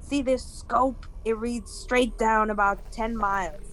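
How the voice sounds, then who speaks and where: An actress playing a plain-spoken, puzzled field geologist in a radio science fiction drama, blunt and informal. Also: female voice, fairly high pitch, steady tone, slow, a bit bright. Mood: intrigued. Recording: noisy old radio recording.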